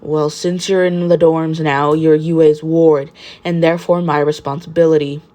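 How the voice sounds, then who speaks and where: A woman voicing a tired, stern male teacher in a story, with a deadpan, deliberate delivery. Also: low voice, lightly acted. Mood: weary, firm.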